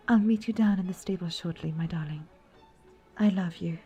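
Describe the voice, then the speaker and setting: A woman playing a gentle, troubled young princess in a fantasy romance audio roleplay, speaking softly and intimately in courtly, old-fashioned phrasing. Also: medium pitch, muffled recording, close to an everyday voice. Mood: tender. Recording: background music.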